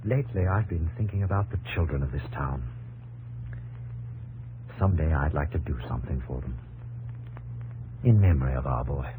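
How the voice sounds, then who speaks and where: An actor playing an earnest, determined industrial pioneer and devoted husband in a 1950s radio drama. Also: male voice, a bass voice, normal speed, pauses often, narrow, tinny audio. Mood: tender, hushed. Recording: old radio broadcast with faint hum.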